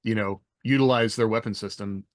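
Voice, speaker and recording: A man with a fairly low voice, recorded close and dry with little room sound, talks on a podcast. He is a veteran and writer speaking in an informal, conversational style. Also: fairly even intonation, fairly quick, no room sound. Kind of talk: casual podcast discussion.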